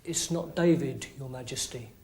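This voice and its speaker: Woman doing gruff muffled soldiers. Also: extremely low pitch, moderate pace, a little mellow, slight background noise, pitched below the performer's own voice. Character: gruff masked male soldiers, blunt. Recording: music playing behind.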